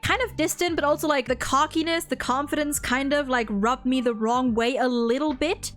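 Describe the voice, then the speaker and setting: A woman's voice: sits high, normal speed, faint music behind. A woman host talking casually about a video game, rambling and repeating words as she goes, with the odd casual swear.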